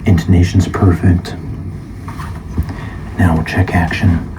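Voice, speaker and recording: A soft-spoken man doing an ASMR roleplay as a guitar shop appraiser, calm and measured, at times slipping into a whisper. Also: low-pitched, noisy background.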